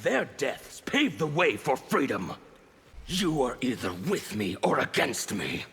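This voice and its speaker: An actor playing a fanatical, defiant anarchist villain in a fighting game, with a strained, theatrical delivery. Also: male voice, medium pitch, very animated, measured pace, a bit bright, full character voice. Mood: contemptuous. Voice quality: gravelly, strained. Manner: theatrical, declamatory. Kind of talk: fighting game character dialogue. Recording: long reverb, faint background noise.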